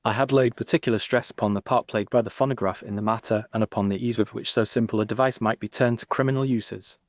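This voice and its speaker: An actor with a British accent playing a reflective Victorian gentleman doctor, earnest and formal, in a dramatised story. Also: fairly low pitch, fairly even intonation, dry recording, studio quality, natural voice. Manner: formal, measured literary phrasing. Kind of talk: first-person dramatised narration.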